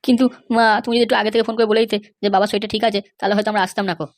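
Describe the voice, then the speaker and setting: A woman's voice: medium pitch, level tone, racing, dry recording, close to an everyday voice. A woman voicing a dutiful, bewildered married young son in a comedy cartoon, earnest and questioning.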